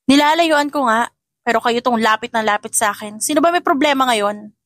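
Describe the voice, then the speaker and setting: A woman's voice: a little high, a little fast, fairly bright tone, dry recording, clean studio sound, natural voice. A woman voicing a feisty young female janitor in an audio drama, cheeky and defiant, though polite to elders. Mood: defiant, irritated. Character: feisty young female janitor who fights back at bullies.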